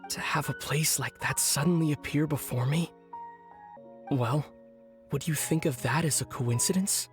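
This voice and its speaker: An actor playing a solemn, weary young man, a long-lost twin brother in a game, who speaks softly and gravely in measured lines. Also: on the high side, natural voice. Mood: wistful. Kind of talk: scripted game voice acting. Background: background music.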